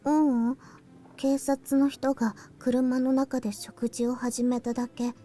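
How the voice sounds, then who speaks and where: A young woman acting a quiet, techy, earnest girl in a visual novel, speaking in a terse, understated way. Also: high voice, little pitch movement, moderate pace, faint music behind, lightly acted. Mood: quiet, flat.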